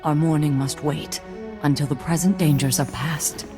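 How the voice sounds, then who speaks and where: A man playing a weary, wise mentor in a video game, speaking in a measured, solemn way. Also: on the high side, fairly even intonation, music in the background, lightly acted. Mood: calm, solemn. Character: weary, wise male Jedi master, calm and grave. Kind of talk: dramatic video game acting.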